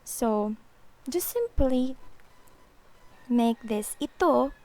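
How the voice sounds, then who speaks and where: Woman, language teacher, very slow and patient delivery, slightly high voice. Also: animated, a little mellow. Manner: patient, repeats example phrases slowly. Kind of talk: slow explanatory language lesson.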